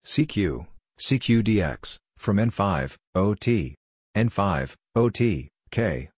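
A man narrating a Morse code practice lesson, reading scripted radio phrases in clipped, deliberate pieces. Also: lowish voice, lively intonation, husky.

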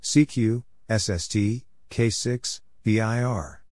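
A man narrating practice drills, reading out call signs and short radio exchanges in measured, separate letters and words. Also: fairly low pitch, darkish tone.

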